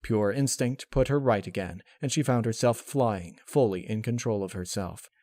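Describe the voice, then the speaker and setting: A man reading a dark fan-fiction story aloud as narrator, in a dramatic scripted style. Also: on the low side, moderate pace, no room sound, studio quality.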